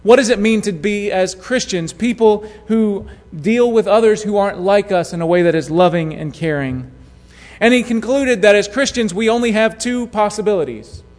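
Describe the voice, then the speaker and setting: A man preaching a sermon, telling a story in a measured way that drops to a hush at key moments. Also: high voice. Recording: long hall reverb.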